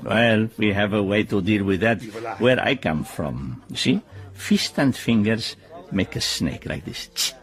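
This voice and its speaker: An actor playing a theatrical, jovial yet needling Italian gambler in a radio drama, effusive and full of questioning hums, with an Italian accent. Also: male voice, lowish voice, lively intonation, measured pace, a bit bright, soft background music. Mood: needling, suspicious.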